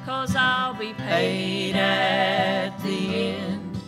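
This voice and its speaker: A woman singing a slow country gospel song, drawing out each sung phrase. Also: medium pitch, flat delivery. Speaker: gospel singer. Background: loud acoustic guitar.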